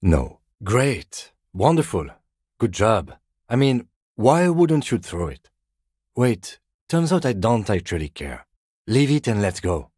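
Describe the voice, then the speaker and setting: Very deep, measured deadpan detective. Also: male voice, slightly rough, dry recording, studio quality, heavily acted. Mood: dry, reserved, then sarcastic, irritated. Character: composed, dry-witted, by-the-book male police detective. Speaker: police detective. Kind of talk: video game character dialogue.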